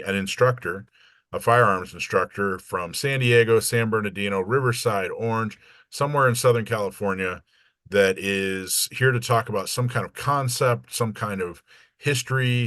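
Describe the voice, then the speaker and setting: A man hosts a talk in a fairly low voice. He speaks informally as he introduces the evening's speaker. The sound is close and dry. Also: a bit bright, no room sound. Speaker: host introducing a guest speaker.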